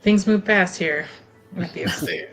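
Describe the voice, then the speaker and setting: A woman's voice: fairly low pitch, measured pace, fairly bright tone, soft background music. A woman playing a tabletop roleplaying game, casually thinking aloud about what her character will do. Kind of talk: casual in-game planning chat.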